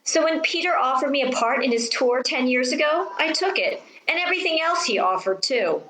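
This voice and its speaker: An actress playing a haughty, seductive vaudeville-born stage star in a radio play, theatrical and condescending. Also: female voice, sits high, expressive, a little fast, roomy sound, background noise. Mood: hard, defiant. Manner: theatrical, drawling, condescending endearments.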